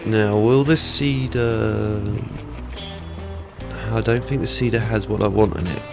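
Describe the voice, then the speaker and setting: A man's voice: fairly low pitch, fairly even intonation, a little slow, gravelly, music in the background. A man with a head cold, chatting casually through gameplay as a let's play host, rambling with lots of ums.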